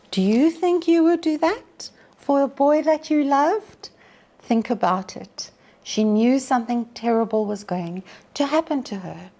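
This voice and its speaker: Female storyteller-teacher, higher-pitched voice, very unhurried, dramatic and measured in delivery. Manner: theatrical, measured, drops to hushed breathy tones. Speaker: teacher reading poetry to pupils. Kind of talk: dramatic poetry recitation and storytelling.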